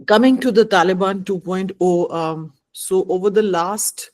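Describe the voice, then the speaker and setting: A woman giving a measured talk as an invited expert at a conference. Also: mid-range voice, a little mellow. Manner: measured, with um and uh fillers.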